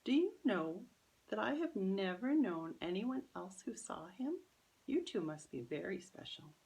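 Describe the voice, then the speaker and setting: A woman's voice: mid-range voice, sing-song, measured pace, darkish tone, low-quality recording. A woman voicing a kindly, whimsical old great-aunt soothing children in a storybook.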